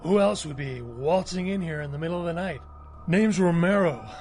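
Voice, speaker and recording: An actor playing a cocky, trigger-happy cemetery caretaker in a video game, with a laid-back, slangy, wisecracking manner. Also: male voice, fairly high pitch, lively intonation, normal speed, a little mellow, natural voice. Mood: wry, casual. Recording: game music behind the voice.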